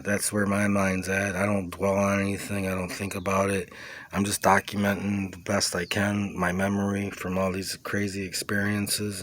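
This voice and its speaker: A man recording an informal, rambling personal testimony, speaking in a halting, reflective way with repeated words and trailing asides. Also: deep voice, a bit bright. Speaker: vlogger sharing a personal testimony. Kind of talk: rambling, informal monologue recounting personal experiences.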